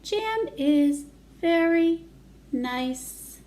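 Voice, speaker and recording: Storybook little girl, read by a woman: high, expressive, earnest, simple singsong phrasing. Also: drawn-out words, full character voice. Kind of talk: children's picture-book character reading.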